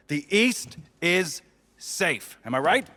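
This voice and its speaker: A man's voice: sits high, very animated, slow pace, bright, forceful tone, exaggerated voice. An actor playing a brash, crude, smug middle-aged businessman in a video game, cocky and blustering. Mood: cocky, pushy. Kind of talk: animated game cutscene acting. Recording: echoey hall with faint noise.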